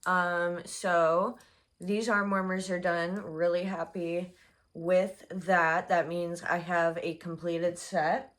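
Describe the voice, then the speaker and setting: A young woman chatting casually in a crochet vlog, her voice hoarse and congested, rambling with many fillers. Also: fairly low pitch, flat delivery, takes it slowly, fairly bright tone. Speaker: crochet vlogger.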